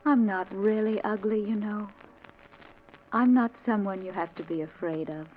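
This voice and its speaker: An actress playing Death as a beautiful, soothing young woman in a 1940s radio drama, measured and softly insistent. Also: medium pitch, natural voice. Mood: calm, tender. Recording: tinny, noisy 1940s radio recording.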